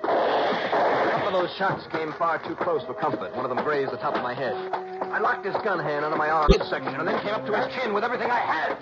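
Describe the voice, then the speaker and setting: A man's voice: a little high, lively intonation, a little fast, very noisy, lightly acted. An actor playing a cool, terse investigator who narrates his own case in a radio drama. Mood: urgent. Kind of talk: scripted first-person radio drama narration. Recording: dull old radio recording, music behind.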